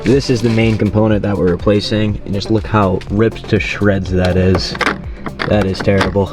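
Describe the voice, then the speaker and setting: A man's voice: on the low side, level tone, moderate pace, over music. A man hosting a DIY car tutorial, giving plain, informal step-by-step instructions in a casual how-to style.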